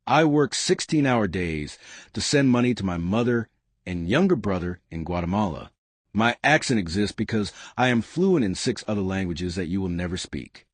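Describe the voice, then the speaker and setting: A man voicing a soft-spoken young immigrant waitress who speaks in a measured, steady, dignified way. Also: on the low side, slightly rough, no room sound.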